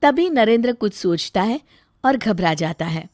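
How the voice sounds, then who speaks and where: A woman's voice: mid-range voice, animated, unhurried, music in the background. A woman storyteller reading a scripted tale as narration.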